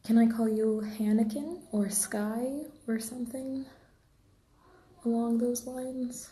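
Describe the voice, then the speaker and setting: A woman's voice: fairly high pitch, fairly even intonation, takes it slowly, mellow. A woman hosting a casual livestream, chatting to listeners in a friendly, rambling way, half to herself. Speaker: livestream host, podfic reader. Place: indoor room at home, rain outside. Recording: roomy echo, faint background noise.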